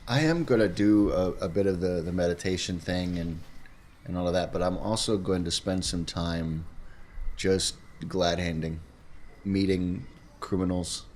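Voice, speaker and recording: A man's voice: deep voice, measured pace, a little mellow, a little hiss or hum. A man chatting casually as a player in a tabletop roleplaying game, talking through what his character does. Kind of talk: casual in-game planning chat.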